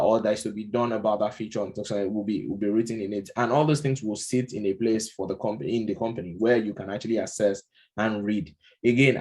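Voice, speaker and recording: Nigerian guy explaining stuff, kinda low voice, lots of you know and um. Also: darkish tone. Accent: Nigerian English. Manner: conversational, many fillers and restarts, occasional chuckles. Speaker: product management instructor. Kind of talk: casual unscripted lecture.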